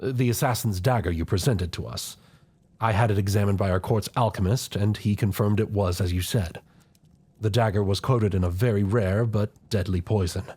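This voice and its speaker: A man playing a loyal, scheming older royal chancellor in a fantasy audio drama, speaking in formal, measured, deferential courtly phrases. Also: fairly low pitch, a little mellow, slightly rough. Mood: grave. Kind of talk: scripted fantasy audio roleplay acting.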